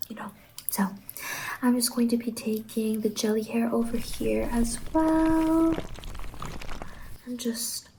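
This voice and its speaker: A woman performing ASMR roleplay as a friendly salon stylist, speaking softly and reassuringly with some whispering, close to the microphone. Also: on the high side, steady tone, takes it slowly, pauses often, slight background noise, low-quality recording. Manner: gentle, reassuring, addresses listener as a client. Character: friendly whimsical female stylist in a dream-world salon.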